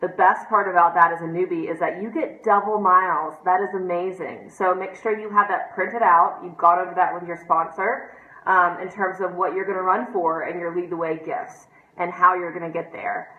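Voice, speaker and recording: A woman's voice: lowish voice, fairly even intonation, normal speed. A woman with a stuffy, congested nose gives a casual, encouraging training talk to newcomers, with lots of ums. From United States. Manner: chatty, many ums, encouraging. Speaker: team trainer. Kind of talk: informal training talk to camera. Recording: echoey room, dull lo-fi sound.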